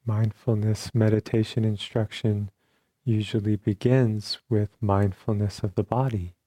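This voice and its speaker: Male meditation teacher, low-pitched and very slow, calm delivery with generous pauses. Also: dry recording. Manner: calm, unhurried, with long pauses between short phrases. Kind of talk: guided meditation instruction.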